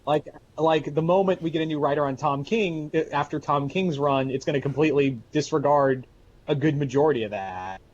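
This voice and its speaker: A man's voice: on the high side, brisk, a little mellow, muffled recording. A man co-hosting a podcast in casual conversation. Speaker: podcast co-host. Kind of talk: casual chat.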